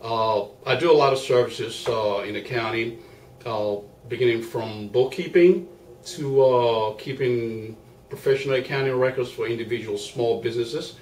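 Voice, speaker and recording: A man's voice: mid-range voice, darkish tone. Liberian man answering formally, off-mic. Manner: measured, formal, many fillers. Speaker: guest, accountant and business owner. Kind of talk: interview answers. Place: small makeshift home studio. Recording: roomy, distant mic with faint hiss.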